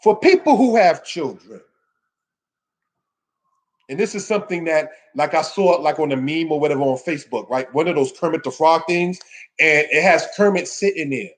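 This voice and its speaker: A man's voice: on the high side, expressive, normal speed. A man teaching scripture in an informal sermon, exhorting his listeners with emphatic phrasing and rhetorical questions. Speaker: religious teacher giving a scripture lesson. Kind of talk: sermon, informal Bible teaching addressed to a congregation.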